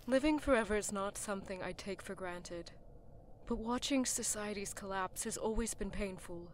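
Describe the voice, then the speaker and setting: An actress playing a melancholy, proud goddess of war in an audio drama, speaking in a measured, wistful way. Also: female voice, medium pitch, slight background noise, natural voice. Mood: melancholy, teasing.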